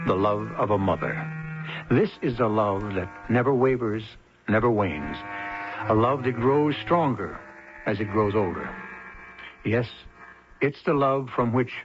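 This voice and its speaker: A man hosting a radio drama, giving theatrical scripted narration in a solemn, deliberate, rhetorical manner. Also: mid-range voice. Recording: muffled old radio, music behind.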